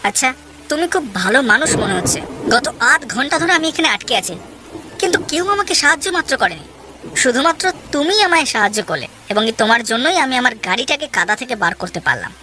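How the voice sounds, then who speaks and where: Wealthy, generous merchant for a storybook tale, acted by a woman: confident, friendly, high-pitched, over clear music. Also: very animated, brisk. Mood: grateful, insistent. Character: grateful, generous wealthy city businessman. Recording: music behind the voice.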